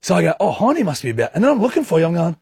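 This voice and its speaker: A man's voice: high-pitched, huge pitch swings, very fast, creaky, darkish tone, husky, dry recording, clean studio sound. A man with an Australian accent, bantering and teasing with laughter as a radio host. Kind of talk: playful banter.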